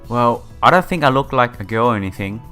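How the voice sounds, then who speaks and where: A man's voice: mid-range voice, animated, quick pace. A man hosting a casual let's-play, reading game text aloud and adding informal asides. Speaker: gaming video host. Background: soft game music.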